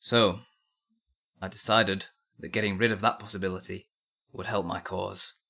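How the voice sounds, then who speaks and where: A man's voice: low-pitched, flat delivery, moderate pace, gravelly, bright, forceful tone, heavily acted. A man playing a defiant, zealous nineteen-year-old blacksmith's apprentice in an audio drama, answering an interrogation in a terse, bitterly sardonic manner. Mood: earnest.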